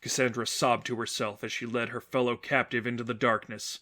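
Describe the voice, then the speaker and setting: A man's voice: mid-range voice, flat delivery, fairly quick, pushed and bright. A man reading scripted fiction aloud as a narrator, in a dramatic storytelling style.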